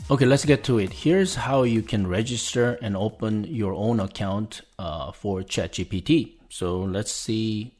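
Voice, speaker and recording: Slow-talking man, Korean native speaker. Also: fairly low pitch, darkish tone, faint music behind. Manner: unhurried, talks through each click. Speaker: podcast host. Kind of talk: casual screen-share tutorial.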